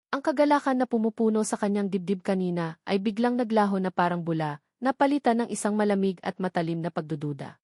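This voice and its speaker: A woman's voice: medium pitch, darkish tone, no room sound. Filipino woman narrating, dry close recording. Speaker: story narrator. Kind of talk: scripted dramatic narration of a love story.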